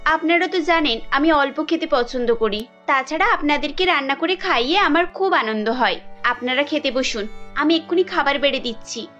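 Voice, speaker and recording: A woman's voice: sits high, normal speed, fairly bright tone, muffled recording, soft background music. A woman voicing a young Bengali housewife in a family cartoon, with an emphatic, reproachful way of talking. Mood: gentle, dutiful. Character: young Bengali housewife Kajal, dutiful but quick to sulk and scold.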